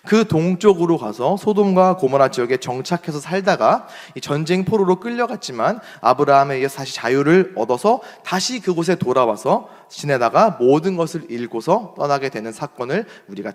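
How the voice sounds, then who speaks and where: A young adult man preaching a sermon as pastor, emphatic, rising to strong points and dropping to soft asides. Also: on the high side. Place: church sanctuary. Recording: long hall reverb.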